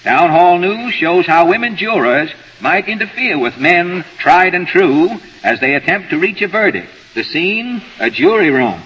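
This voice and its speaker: A male announcer reads mock news bulletins in 1930s radio style with a crisp, formal delivery. His voice is lively and a bit husky, with a bright tone. It rings in a long hall echo. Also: high voice, measured pace, few pauses, lo-fi sound.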